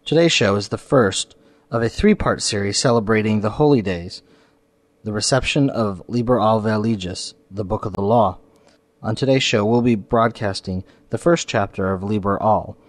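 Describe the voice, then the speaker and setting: Low-voiced male podcast host. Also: normal speed, no echo. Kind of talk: spoken show introduction and announcements.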